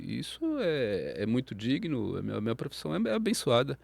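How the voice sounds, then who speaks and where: A man in his fifties with a Brazilian Portuguese accent, an acupuncturist telling his story in a casual interview, lively and full of tag questions. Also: medium pitch, fast talker, vocal fry, husky, no room sound. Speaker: acupuncturist and psychologist guest.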